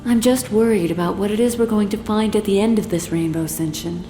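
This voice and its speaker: A guarded, determined heroine played by an actress in a sci-fi audio drama. Her voice is mellow and mid-pitched, with roomy echo and faint music around it. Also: fairly quick, lightly acted. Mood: wary, resolute.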